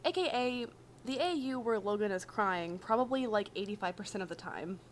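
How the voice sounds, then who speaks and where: A woman narrates a story in a casual, expressive way, with a medium-pitched voice. The amateur recording carries a faint layer of noise behind her. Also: normal speed. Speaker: podfic reader. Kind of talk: expressive fan fiction narration.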